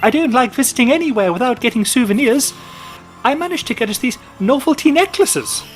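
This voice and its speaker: A man putting on a high, excitable falsetto for a chattering friend in a spoken story. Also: a little fast, faint music behind. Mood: chirpy.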